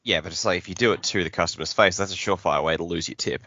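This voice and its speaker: A man's voice: sits low, rapid-fire, bright, forceful tone, muffled recording. A man co-hosting a podcast in casual chat, chipping in with informal, sometimes sweary asides. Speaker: podcast co-host.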